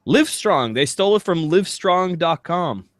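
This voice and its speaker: American man, slightly high, casual co-host. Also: lively intonation, normal speed, a bit bright, no room sound, studio quality. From United States. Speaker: podcast co-host. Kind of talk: casual chat, reading text aloud.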